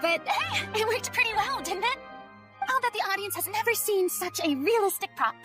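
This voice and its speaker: High-voiced theatrical young woman. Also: normal speed, faint music behind, full character voice. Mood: nervous, playful. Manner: theatrical, expressive. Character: theatrical young former star, proud yet flustered. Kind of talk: scripted video game voice acting.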